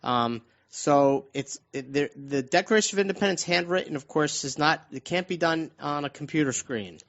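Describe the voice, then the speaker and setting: A dull, lo-fi radio recording carries a man with a moderately high voice. He hosts in a loose, informal way, halting and repeating words as he works toward his point. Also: a bit bright. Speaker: radio show host.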